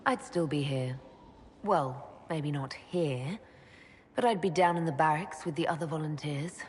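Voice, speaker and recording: An actress playing a composed, supportive young elven heroine in a video game, calm, understated and gently wry. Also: female voice, low voice, unhurried, long reverb tail, a little hiss or hum. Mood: steady. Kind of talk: dramatic video game dialogue.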